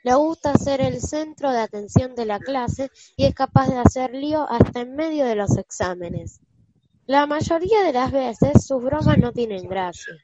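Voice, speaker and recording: High-voiced schoolgirl narrator Alicia, acted by a female. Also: animated, moderate pace, warm tone, lo-fi sound. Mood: friendly, explanatory. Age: child character. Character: friendly schoolgirl Alicia narrating her class story. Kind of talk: school radio play narration.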